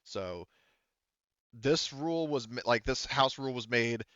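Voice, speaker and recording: A man's voice: medium pitch, animated, unhurried, a bit bright, smooth, no room sound, highs cut off. A man acting as game master, explaining rules casually and rephrasing as he goes in a hesitant way.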